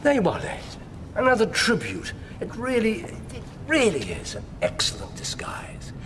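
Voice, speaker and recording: An actor playing a shrewd, sardonic English detective in a radio drama, with precise, theatrical phrasing. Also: male voice, wildly swooping pitch, normal speed, whispered. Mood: gloating politely. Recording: clear low rumbling background noise.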